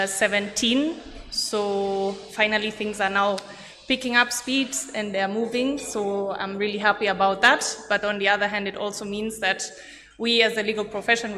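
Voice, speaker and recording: A woman's voice: medium pitch, pushed and bright, slight background noise. A woman, giving a friendly, informal conference talk with self-deprecating asides. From Germany. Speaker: conference presenter. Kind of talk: conference presentation. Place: large conference hall. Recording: echoey hall with faint room ambience.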